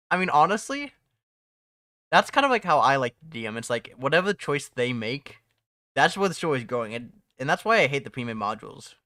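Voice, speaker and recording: A man's voice: medium pitch, very animated, normal speed, bright, forceful tone, no echo, clean studio sound. A young man chatting casually on a live stream from home, rambling, laughing often and restarting his sentences. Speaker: streamer and mod developer.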